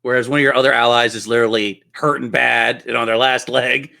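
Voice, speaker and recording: A man's voice: medium pitch, little pitch movement, brisk, creaky, bright, forceful tone. A man acts as game master for a tabletop role-playing session. He narrates in a playful way, with theatrical turns of phrase and a mid-range voice.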